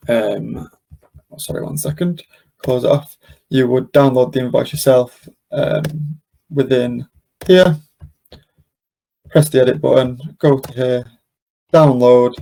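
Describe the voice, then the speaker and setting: A man presenting a live software demo and question session, explaining step by step in an informal, hesitant way. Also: medium pitch, gravelly, rough recording. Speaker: webinar presenter and software demonstrator.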